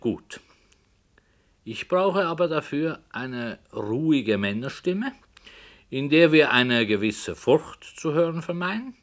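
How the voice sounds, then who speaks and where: An actor playing a brooding, hesitant author who tells a dark story in a slow, hushed voice that sometimes drops to a whisper, in 1960s radio play style. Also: male voice, fairly high pitch, very animated. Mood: musing.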